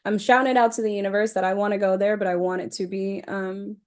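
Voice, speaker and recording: A woman speaking as a podcast guest, candid and expressive, telling personal anecdotes in casual conversation. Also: medium pitch, fast.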